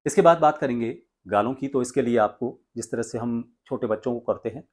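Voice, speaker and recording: A man's voice: mid-range voice, fairly quick. Male voice coach giving step-by-step instruction. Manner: explanatory, demonstrates step by step. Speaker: voice coach and presenter. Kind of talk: instructional video tutorial.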